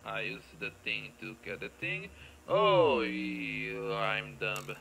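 A man with a heavily creaky, gravelly voice commentates a video game. His pitch swings in a very animated way as he rambles playfully and thinks aloud. The recording is clearly noisy, with game ambience behind him. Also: takes it slowly. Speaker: gameplay video creator. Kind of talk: casual let's play commentary.

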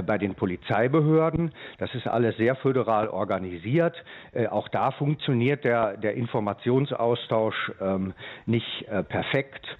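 A man giving a live news interview as an official and eyewitness, speaking haltingly with frequent pauses. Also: medium pitch. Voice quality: hesitant delivery, frequent pauses. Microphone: telephone. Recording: tinny narrow-band telephone line.

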